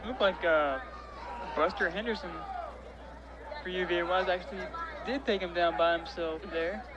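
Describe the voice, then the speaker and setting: A man adds color commentary in easy, reflective remarks on each play. His voice sits slightly high, and a stadium crowd murmurs behind him. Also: moderate pace, echoey room, muffled recording. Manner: relaxed, reflective remarks on plays. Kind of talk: live football commentary.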